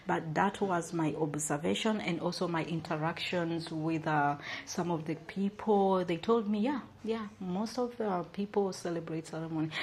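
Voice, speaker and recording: A woman's voice: sits low, darkish tone, slight background noise. A young woman from Uganda talking casually to her viewers about her travels, with lots of fillers and asides to "guys". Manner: chatty, many fillers, addresses viewers as guys. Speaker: travel vlogger. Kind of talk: casual chat to camera.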